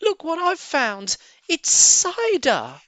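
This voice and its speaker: Young male fox cub, storybook: wide-eyed, eager, pitched way up, swooping. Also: huge pitch swings, slow, sharp tone, no room sound, heavily acted, rough recording. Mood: thrilled. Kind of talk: storybook character voice.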